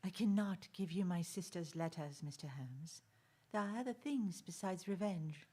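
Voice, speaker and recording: A woman's voice: on the low side, expressive, normal speed, warm tone, slightly rough. A woman acting a proud, guarded lady in a radio drama, formal and dramatic. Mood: cold, resolute.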